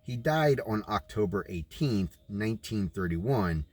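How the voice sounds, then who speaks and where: A man reading a book aloud as a narrator, in a deliberate way with informal asides. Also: fairly low pitch, expressive, a little mellow.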